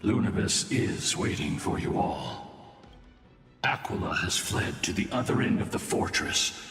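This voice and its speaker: Game guide role: low, slow, breathy and solemn, in a reverberant space. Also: male voice, big pitch swings, a bit bright, exaggerated voice. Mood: quietly urgent. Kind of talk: dramatic fantasy game voice acting. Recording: reverberant hall with music beneath.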